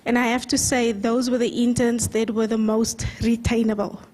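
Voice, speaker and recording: A woman's voice: on the high side, flat delivery, normal speed, darkish tone. A woman hosting a conference session who speaks to the audience in an encouraging way. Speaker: event host. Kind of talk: conference speech. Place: conference hall.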